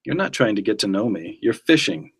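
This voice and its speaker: A man reading the part of a guarded, gruff, suspicious male agent, with blunt, terse, dry retorts. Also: on the low side, big pitch swings, fairly quick, heavily acted. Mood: stern, blunt.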